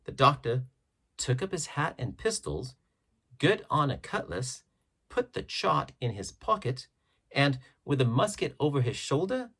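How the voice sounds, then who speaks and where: A man reading an adventure story aloud as scripted narration, with measured, dramatic phrasing. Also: mid-range voice, a bit bright, slightly rough. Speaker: audiobook narrator.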